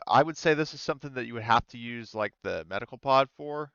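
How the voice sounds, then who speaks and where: A man's voice: medium pitch, quick pace, pushed and bright, clean tone, dry recording, highs cut off. A man running a tabletop game as game master, talking in a tentative, conversational way. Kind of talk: casual tabletop game narration.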